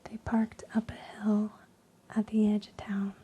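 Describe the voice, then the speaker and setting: A woman reading stories in a soft unvoiced ASMR whisper, slow and hushed with frequent ums, while losing her voice. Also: mid-range voice, monotone, slightly rough, poor audio. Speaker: ASMR creator.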